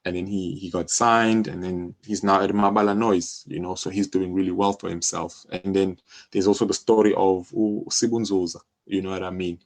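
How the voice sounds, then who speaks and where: A man's voice: sits low, level tone, normal speed. A South African man talking casually as an interview guest, explaining things and often checking in with the listener. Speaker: music publishing company co-founder, interview guest.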